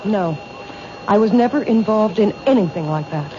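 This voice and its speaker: Actress as threatened bookseller, medium pitch, restrained, quietly stubborn, 1970s radio drama, dull noisy sound. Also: female voice, lively intonation, normal speed, close to an everyday voice. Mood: anxious. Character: troubled, quietly stubborn female bookstore owner under threat.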